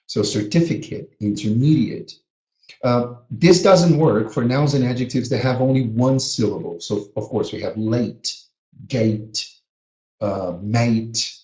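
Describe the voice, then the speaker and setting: A man's voice: medium pitch, a little slow, mellow, reverberant, highs cut off. A man from Brazil, a native Portuguese speaker, teaching a live webinar lesson and drilling words with earnest emphasis. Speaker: teacher educator. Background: a small child heard now and then.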